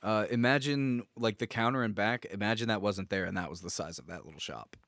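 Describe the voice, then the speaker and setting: A man's voice: fairly low pitch, fairly quick, a bit bright, dry recording, studio quality. An American man chatting casually as a podcast co-host, in a bantering style.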